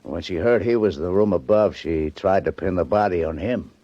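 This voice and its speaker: An actor playing a gruff, exasperated police detective in a 1940s radio drama, talking tough and slangy. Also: male voice, sits low, a little fast, mellow, dry recording. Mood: relieved, blunt. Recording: dull 1940s radio recording.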